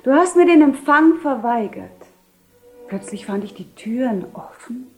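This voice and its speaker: A woman playing a self-possessed widowed duchess with measured, solemn, theatrical delivery in a radio play. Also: sits high, animated, soft background music, low-quality recording. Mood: composed, reproachful. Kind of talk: 1970 German radio play acting.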